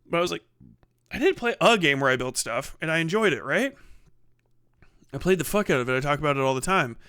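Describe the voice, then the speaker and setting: Male livestreamer, crisp and dry-sounding, chatting casually and loosely with lots of swearing and animated delivery. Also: a little high, quick pace, no room sound. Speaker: livestream host.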